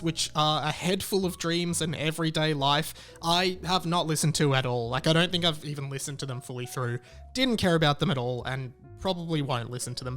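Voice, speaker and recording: A man's voice: on the high side, a bit bright. A man giving a casual, opinionated album review as the host, talking conversationally with wry amusement. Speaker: music reviewer and show host. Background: music under the voice.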